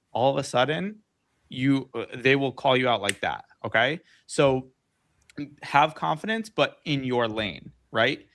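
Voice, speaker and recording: A man co-coaching on a video call gives plain, direct advice and keeps checking in with "okay?" His voice has an ordinary middle pitch. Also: a bit bright.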